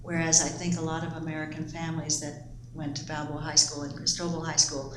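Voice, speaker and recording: An older woman who grew up in the Panama Canal Zone, recalling her school years in an oral history interview in a measured, reflective way. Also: low voice, monotone, background noise. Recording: echoey room with faint ambience.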